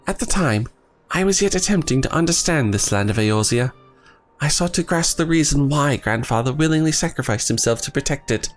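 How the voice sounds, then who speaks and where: A man reading as a grave, earnest young scholar who speaks in formal, old-fashioned phrases, his voice a bit worn and scratchy. The delivery rolls up and down with lively pitch. Faint game music plays underneath. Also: a little fast, lightly acted. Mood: solemn. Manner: archaic, formal phrasing, read in his own voice. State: losing his voice.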